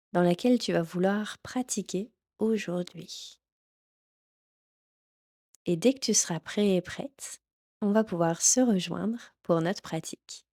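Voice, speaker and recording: A woman teaching yoga nidra, leading a guided relaxation in a gentle, soothing, instructional manner. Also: medium pitch. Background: background music.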